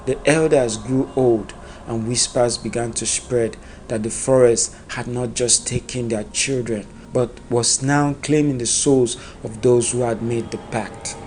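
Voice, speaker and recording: Male narrator, slow measured delivery, solemn dramatic folktale storytelling, mid-pitched voice, prominent background ambience. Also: steady tone, a little mellow, slight background noise, rough recording. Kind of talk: scripted narration of a dark epic folktale.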